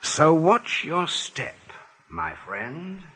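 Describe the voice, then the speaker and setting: An actor in a radio play playing a proud, obedient rabbit guard captain with a clipped, officious manner. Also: male voice, fairly high pitch, huge pitch swings, drawn-out words, bright, forceful tone, breathy edge, heavily acted. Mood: knowing, smug. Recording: faint background noise in radio play.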